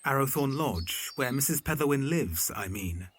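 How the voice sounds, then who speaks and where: A man playing a polite, earnest, slightly awkward young gentleman in a period audio drama. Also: mid-range voice, expressive, normal speed, slightly rough. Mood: polite, puzzled. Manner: courteous, earnest.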